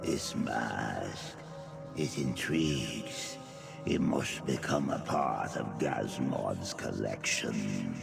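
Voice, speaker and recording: An actor playing a pompous, possessive inhuman collector of relics in a fantasy video game, with a gravelly voice. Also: male voice, very deep voice, drawn-out words, over loud music, heavily acted. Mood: haughty, covetous. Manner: pompous, speaks of self in third person.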